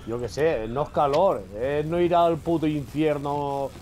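A man's voice: on the high side, unhurried, a little mellow, slight background noise. A laid-back man chatting with friends while gaming, swearing casually and repeating his words. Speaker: friend on voice chat.

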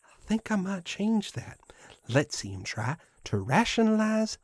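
A man's voice: sits high, very animated, measured pace, heavy background noise. A man playing a proud, mischievous living scarecrow who guards a farm, musing in a theatrical, pompous way in an audio drama monologue. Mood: proud, scheming. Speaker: voice actor.

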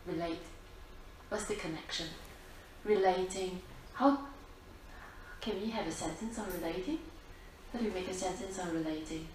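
A woman's voice: fairly low pitch, a little mellow. Woman giving a dharma talk, repeats and asks questions, really echoey, far from mic, bit of noise. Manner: deliberate, repetitive, questioning the listeners. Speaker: Buddhist nun, teacher. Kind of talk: dharma talk to a seated group. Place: library-like meeting room, talk to an audience. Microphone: distant camera at back of room. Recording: echoey room, distant mic, faint noise.

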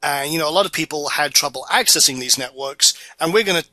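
Bright Scottish man pitching a course. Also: fast talker, no echo. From Orkney Islands, Scotland. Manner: casual, filler-heavy sales pitch. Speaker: online course presenter. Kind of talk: narrated slideshow course video.

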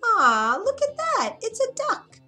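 A brusque, nosy old woman from a children's fairy tale, acted by a woman narrator. She exclaims bossily in a raised pitch, with music behind. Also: wildly swooping pitch, takes it slowly, bright, forceful tone, full character voice. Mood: surprised.

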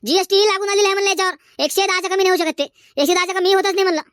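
A performer voicing a haggling, bantering cartoon chilli-market trader, squeaky and folksy, in comedic dialogue. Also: fairly even intonation, racing, a bit bright, slight background noise. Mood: firm haggling. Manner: folksy, insistent bargaining. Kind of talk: comedic cartoon dialogue in colloquial rural Marathi.

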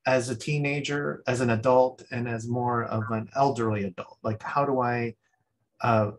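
A man giving rambling, thinking-aloud feedback as a guest critic at a design review over a video call. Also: mid-range voice. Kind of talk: spoken feedback on a student project.

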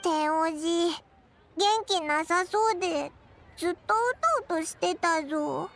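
Anime game sidekick: tiny excitable fairy, squeaky, childlike, very high pitched. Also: female voice, slow, a little hiss or hum, exaggerated voice. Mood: curious, puzzled. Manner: exclamatory, blunt, boyish phrasing. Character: small childlike floating fairy companion, eager, excitable. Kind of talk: anime video game dialogue.

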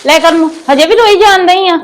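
A woman playing a fast-talking bossy sister-in-law. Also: very high voice. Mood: soothing. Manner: coaxing, lecturing. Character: married sister-in-law, soothing yet bossy. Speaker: actor in a family skit.

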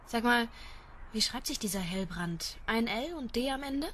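A woman's voice: a little high, lively intonation, normal speed, a bit bright, slight background noise. A woman playing a sceptical, inquisitive character with a blunt, dry manner in a radio drama. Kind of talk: conversational radio drama dialogue.

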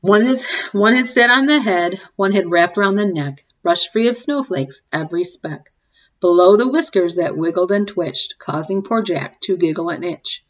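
A woman in her forties reading a picture book aloud to young children, expressively, pausing to ask them questions and comment. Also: lowish voice, lively intonation, lo-fi sound. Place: small home room, indoors. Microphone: webcam or laptop mic at desk distance.

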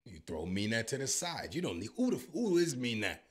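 A man's voice: mid-range voice, brisk, slightly rough. Joking American man on a handheld mic. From United States. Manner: joking, boastful, animated. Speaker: YouTuber reacting to a language app. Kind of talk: playful reaction commentary. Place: home studio with purple lighting. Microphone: handheld microphone.

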